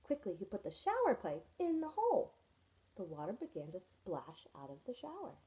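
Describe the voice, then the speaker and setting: A woman's voice: medium pitch, wildly swooping pitch, moderate pace, mellow, rough recording. A woman teacher reading a children's picture book aloud to her students, storytelling expressively with friendly asides.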